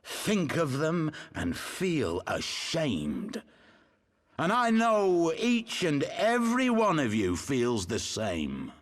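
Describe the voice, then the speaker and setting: Impassioned rebel leader, sweeping pitch. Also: male voice, wildly swooping pitch, slow pace, exaggerated voice. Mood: grave, ashamed. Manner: declamatory, rhetorical, emphatic. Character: impassioned male rebel leader rallying his exiled countrymen. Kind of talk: theatrical video game oratory.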